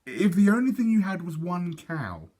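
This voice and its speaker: A sceptical young storybook brother, read by a man, with animated pitch. The boy is blunt and teasing, quick to contradict.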